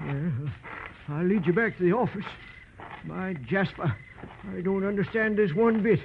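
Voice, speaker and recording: A man's voice: high-pitched, moderate pace. A man playing a folksy, flustered old proprietor with a rural American accent, stammering and hesitant in 1940s radio drama style. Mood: folksy, wary. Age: older. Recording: tinny, noisy old radio recording.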